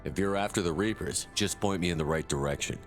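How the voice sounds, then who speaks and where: An actor playing a resolute military commander hero in a video game, talking in a direct, firm way. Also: male voice, low voice, fast, long reverb tail, faint music behind, close to an everyday voice. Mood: firm, questioning. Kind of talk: dramatic video game dialogue.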